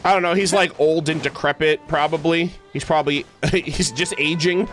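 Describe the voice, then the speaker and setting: A man livestreaming, chatting casually with his viewers in a halting, thinking-aloud way. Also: a little high, fairly even intonation, fairly bright tone. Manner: casual swearing. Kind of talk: casual chat with stream viewers. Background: music.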